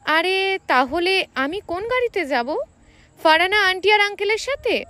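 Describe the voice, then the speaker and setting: A woman's voice: very high-pitched, lively intonation, unhurried, sharp tone, voice pushed up, heavily acted. A woman narrator voicing a scheming, teasing young matchmaker in an audio story, casual and insistent. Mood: teasing protest.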